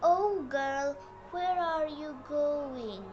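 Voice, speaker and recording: A woman's voice: high voice, drawn-out words, dull audio, music in the background. A young girl voicing a dirty old whale in a storybook, politely pleading for help. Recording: noisy room with background ambience.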